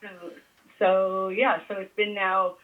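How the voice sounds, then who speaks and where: A woman speaks through a thin, narrow phone connection. She talks casually as a patient, in a halting way, often leaving thoughts hanging as she searches for words. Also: mid-range voice, flat delivery, crowd noise. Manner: hesitant, halting, trailing off between thoughts. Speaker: patient on a call. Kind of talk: casual personal conversation. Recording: thin, band-limited phone call.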